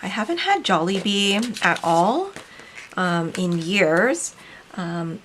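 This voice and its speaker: A woman from the Philippines casually narrating as she decorates a planner, chatty and relaxed, with frequent ums. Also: mid-range voice, slow, a little hiss or hum. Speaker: planner vlogger and shop owner.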